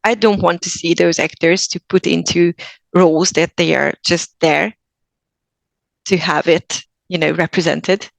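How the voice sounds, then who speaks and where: Hungarian woman actor guest, earnest, restarts a lot, bit of vocal fry. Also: fairly low pitch, fairly even intonation, unhurried, no room sound. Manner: earnest, thoughtful, with frequent restarts. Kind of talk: casual podcast interview.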